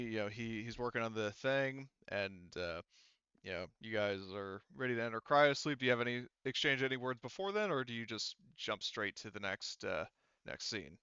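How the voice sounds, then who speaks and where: A man narrating a tabletop game casually as game master, halting often as he thinks aloud. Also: fairly low pitch, pushed and bright, clean tone, no room sound, muffled recording.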